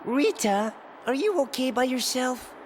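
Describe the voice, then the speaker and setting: A woman's voice: high-pitched, animated, unhurried, slight background noise. A woman playing a small, cheeky, nagging sidekick in a video game cutscene, with a pert, chatty delivery. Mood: concerned.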